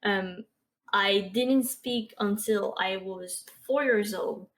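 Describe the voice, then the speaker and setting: A woman's voice: medium pitch, takes it slowly. French-Canadian woman in her twenties chatting. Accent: Québécois French. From Quebec. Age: 20s. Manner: chatty, many fillers and soft laughs. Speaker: podcast guest, streamer and artist. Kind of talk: casual interview chat.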